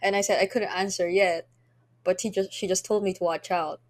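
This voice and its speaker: A woman's voice: medium pitch, fairly even intonation, brisk. A woman hosting an esports podcast in a casual, conversational style. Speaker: podcast host.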